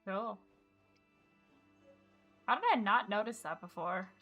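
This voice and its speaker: A woman's voice: medium pitch, slow pace, bright, forceful tone. A woman game streamer giving casual live commentary in an informal, irreverent way. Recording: game music in the background.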